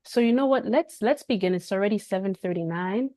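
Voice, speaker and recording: A woman hosts a casual livestream, greeting viewers and rambling through her thoughts with lots of fillers and restarts. Her voice sits at a middle pitch and sounds dry and close. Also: fairly quick, warm tone, dry recording. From Canada. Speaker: livestream host.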